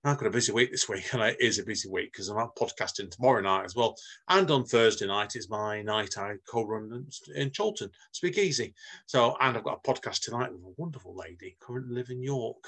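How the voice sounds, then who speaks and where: A man's voice: lowish voice, fairly bright tone, slightly rough. A man hosting a podcast interview in a chatty, rambling way, laughing at himself as he goes. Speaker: podcast host. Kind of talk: casual interview chat.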